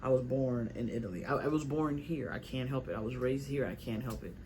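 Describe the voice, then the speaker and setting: A man's voice: medium pitch, a little fast, background noise. Reflective young man. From Miami, South Florida. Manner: rambling, reflective, repeats himself. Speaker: rapper and vlogger. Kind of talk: casual studio vlog talk. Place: recording studio.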